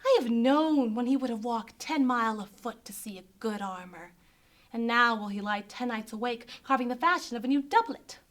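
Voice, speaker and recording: Scornful, witty Benedick played by a woman, pitched down. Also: lively intonation, normal speed, fairly bright tone. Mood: scornful amusement. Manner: wry, mocking, musing. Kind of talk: Shakespearean stage monologue in prose.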